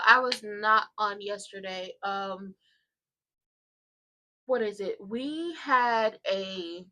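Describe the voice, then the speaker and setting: A woman's voice: medium pitch, sharp tone, rough recording. Congested woman chatting to her live viewers. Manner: rambling and chatty, addresses viewers directly. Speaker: live-stream host of a soap watchalong. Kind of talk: casual live-stream chat.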